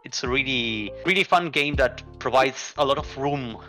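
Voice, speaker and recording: Bright-voiced Argentine-born man, easygoing. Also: mid-range voice, moderate pace, soft background music. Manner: easygoing, restarts often, many likes and rights. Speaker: interview guest, card game player. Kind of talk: casual chat.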